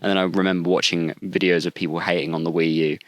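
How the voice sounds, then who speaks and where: Young male co-host from the UK, very low voice, dry close sound, loose rambling chat. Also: flat delivery, fast, no room sound. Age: young adult. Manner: laid-back, rambling storyteller with many fillers. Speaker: podcast co-host. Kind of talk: casual chat.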